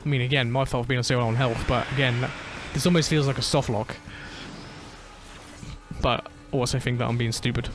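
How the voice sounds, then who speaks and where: Let's-play host, male, relaxed and a bit mumbly, mid-pitched casual chatter. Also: fairly quick, over music. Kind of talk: casual gameplay commentary.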